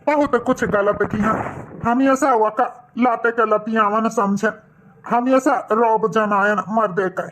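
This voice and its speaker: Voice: medium pitch, fairly bright tone, low-quality recording. A voice actor playing a remorseful village husband and son in a cartoon, earnest and humbly pleading, with an Awadhi accent.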